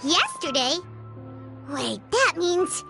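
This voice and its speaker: A woman's voice: very high-pitched, very animated, slow, vocal fry, over music, full character voice. A woman voicing a tiny, earnest fairy-like girl companion in a video game. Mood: surprised.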